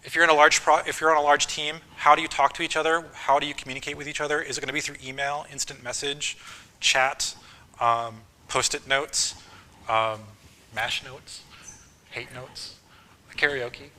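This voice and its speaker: A man teaching a university course, talking casually with frequent ums and quick lists. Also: a little high, level tone, bright, forceful tone. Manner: casual, list-making, filler ums. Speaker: course instructor. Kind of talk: university lecture.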